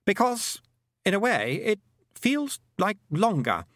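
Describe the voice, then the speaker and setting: A man voicing a haughty yet anxious twelve-year-old lost boy in a storybook, emphatic and excitable. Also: high-pitched, slow, no room sound, voice pushed up, full character voice. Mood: anxious, agitated.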